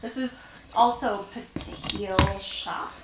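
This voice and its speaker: A woman's voice: medium pitch, fairly even intonation, slow. A middle-aged woman chatting casually and reading out labels from off camera indoors. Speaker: off-camera parent helper. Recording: distant, echoey, noisy room.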